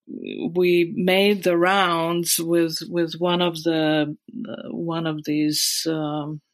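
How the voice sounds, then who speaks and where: A woman's voice: sits low, level tone, extremely slow, dry recording. A woman in her sixties with a Latvian accent, recalling her past in an interview, hesitant with many fillers and restarts. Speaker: guest. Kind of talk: interview recollection.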